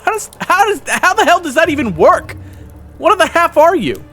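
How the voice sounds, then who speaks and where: A man's voice: very high-pitched, very animated, moderate pace, pushed and bright, pitched above the performer's own voice, heavily acted. A man voicing a wary, exhausted young soldier who stammers and swears defensively, in an audio roleplay with music behind. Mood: suspicious. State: exhausted and hungry.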